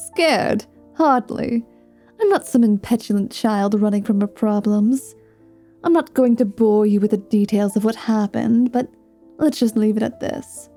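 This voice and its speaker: A woman's voice: mid-range voice, a little slow, dark tone, soft background music. A woman voicing a flirty, world-weary female dhampir mercenary in a fantasy ASMR roleplay, teasing and dry with dramatic flair. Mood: sardonic, brooding.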